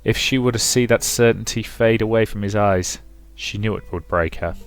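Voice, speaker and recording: A man's voice: fairly low pitch, normal speed, smooth, soft background music. A man commentating on and reading aloud from a visual novel as a let's play host.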